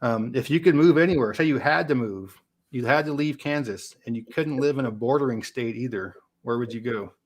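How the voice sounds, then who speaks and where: An American man in relaxed, unscripted conversation as a geography creator. He has a mid-pitched voice and thinks out loud, letting some thoughts trail off. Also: brisk. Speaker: YouTube geography creator in a two-way interview. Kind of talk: casual unscripted chat.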